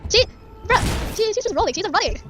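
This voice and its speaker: A woman's voice: very high voice, lively intonation, fast, over music. A woman giving casual, slangy, grumbling commentary as she plays a video game. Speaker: game streamer.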